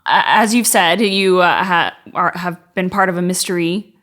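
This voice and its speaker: A woman hosting a casual interview, attentive, with short reactions and halting questions. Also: fairly low pitch, a bit bright. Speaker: podcast interviewer.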